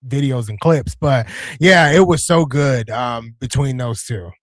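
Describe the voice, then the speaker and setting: A man's voice: mid-range voice, measured pace, no echo, clean studio sound. A man co-hosting a casual wrestling watch-along stream, chatty and opinionated, repeating himself between fillers. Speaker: co-host.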